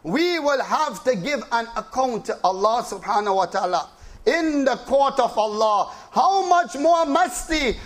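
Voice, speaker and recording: A man preaching an impassioned Islamic sermon, full of rhetorical questions and slow dramatic repetition, with rapid chanted recitation of Arabic verses. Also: very high voice, a bit bright.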